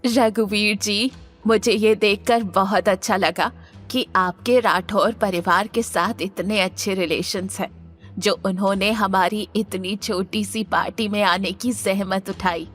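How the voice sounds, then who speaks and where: A woman's voice: mid-range voice, fairly even intonation, measured pace, fairly bright tone, faint music behind. A woman playing a proud older matriarch in an audio drama, gracious and effusive. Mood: impressed, pleased. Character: proud, status-loving rich grandmother and family matriarch. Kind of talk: Hindi audio drama acting.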